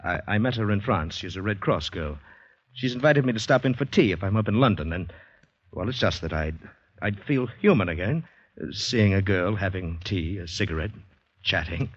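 A man's voice: low-pitched, expressive, normal speed, breathy edge. A man playing an anxious young soldier recovering from shell shock, hesitant and polite, in 1940s radio drama style. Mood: eager. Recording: dull, roomy old radio recording.

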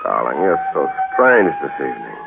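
An actor playing a concerned, devoted lover in a 1940s radio drama, on a muffled recording with loud music. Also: male voice, rumbling low, sing-song, normal speed, creaky, full character voice. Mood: tender concern.

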